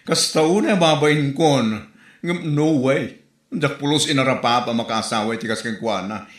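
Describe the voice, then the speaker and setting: A woman's voice: rumbling low, normal speed, reverberant. A woman with a Filipino Ilocano accent acting an incredulous, mocking village gossip in a comedy drama.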